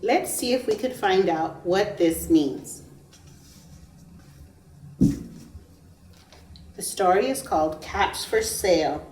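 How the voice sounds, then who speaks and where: Casting: woman teacher, children's read-aloud, slow and emphatic delivery, medium pitch, echoey recording. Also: darkish tone. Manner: emphatic, pausing to ask listeners questions. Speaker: preschool teacher. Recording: roomy echo, distant microphone.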